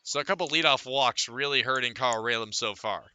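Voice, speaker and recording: A man's voice: medium pitch, fairly quick, sharp tone, dry recording. A man calling live baseball play-by-play for radio, mixing clipped stat readouts with fuller descriptions of the action. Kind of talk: live radio sports commentary.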